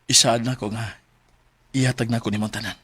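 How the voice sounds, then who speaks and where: A man playing a direct, earnest young suitor in a radio drama, with a husky voice and a Cebuano accent. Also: medium pitch, steady tone, brisk, lightly acted. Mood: earnest, wooing.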